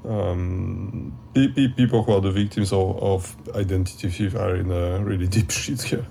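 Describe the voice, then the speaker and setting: A man's voice: low voice, fairly even intonation, moderate pace, creaky, dark tone, a little hiss or hum. A man talking casually as a guest, hesitant and often repeating words. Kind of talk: casual conversation.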